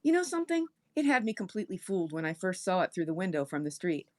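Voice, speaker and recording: Woman as teenage boy lodger, polite, puzzled, slightly hesitant, lively pitch, storybook character. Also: fast talker. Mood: curious, impressed.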